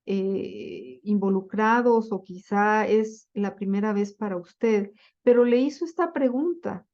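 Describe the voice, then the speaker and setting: A woman giving a slide-based lecture on Bible translation, speaking deliberately with frequent fillers and self-corrections. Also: medium pitch, highs cut off.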